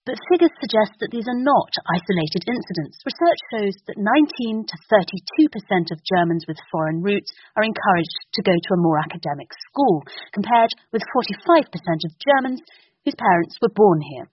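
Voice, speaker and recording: A woman reporting for radio news in a measured, even narration. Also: medium pitch, lo-fi sound, a little hiss or hum.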